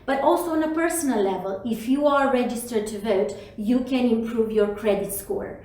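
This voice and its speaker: A woman's voice: fairly high pitch, normal speed, a little mellow, heavy reverb, far from the mic, poor audio. A woman from Romania, a city official, answering interview questions in an earnest, campaigning way.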